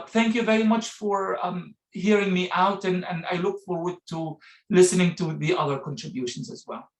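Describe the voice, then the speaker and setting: A middle-aged man giving a rousing speech over a video call, with a few hesitant fillers. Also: high-pitched. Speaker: guest speaker. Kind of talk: speech at an online meeting. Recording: echoey room.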